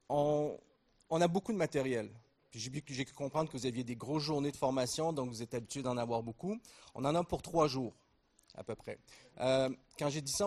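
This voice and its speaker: Joking Québécois man giving a lecture. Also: medium pitch. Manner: relaxed, joking, with fillers and restarts. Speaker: invited lecturer at a training conference. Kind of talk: conference lecture.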